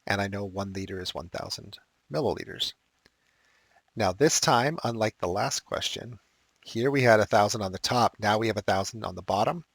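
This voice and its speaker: A male math teacher walking through unit conversions step by step, with casual asides and a slightly raspy, dry-throated voice. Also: on the low side, normal speed, dry recording. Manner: explains step by step with asides and fillers. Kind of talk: recorded math lesson walkthrough.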